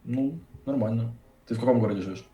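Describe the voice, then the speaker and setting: Blunt eighteen-year-old man, quick delivery, roomy, distant webcam sound. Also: medium pitch, mellow, muffled recording. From Saint Petersburg, Russia. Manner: quick, blunt, swears casually. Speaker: university economics student. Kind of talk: casual video chat. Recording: echoey room, far from the mic.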